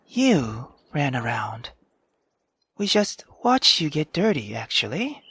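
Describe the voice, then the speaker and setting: A woman voicing an earnest, thoughtful young girl with a gentle, measured manner in a visual novel read-aloud. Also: low-pitched, very animated, slightly rough, dry recording, pitched below the performer's own voice, exaggerated voice. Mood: matter-of-fact.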